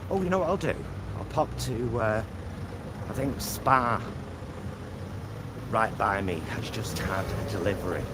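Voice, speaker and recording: Guy rambling to his camera outside, really slow talker, loud traffic and street noise all round. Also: on the low side. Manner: rambling, thinking aloud. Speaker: vlogger. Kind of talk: casual chatty walking vlog. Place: outdoors, walking near a supermarket and roads. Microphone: handheld camera in selfie mode.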